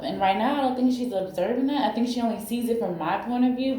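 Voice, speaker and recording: A woman in her thirties, talking as a counseling client, halting and anxious as she searches for words. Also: medium pitch, dark tone, slight background noise. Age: 30s. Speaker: counseling client in a role-play. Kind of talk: emotional personal disclosure. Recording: echoey room recording.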